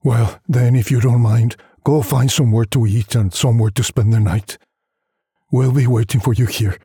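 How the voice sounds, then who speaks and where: A man playing a weary, bewildered husband and father in a story, questioning his wife in a husky, tired voice. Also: mid-range voice, steady tone, moderate pace, warm tone, no echo, clean studio sound. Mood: tired, resigned.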